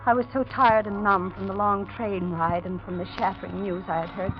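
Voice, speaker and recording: A woman's voice: mid-range voice, steady tone, fairly quick, close to an everyday voice. An actress playing an earnest, grief-stricken young woman in a dramatic 1940s radio mystery. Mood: weary narration. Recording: muffled 1940s radio recording with music.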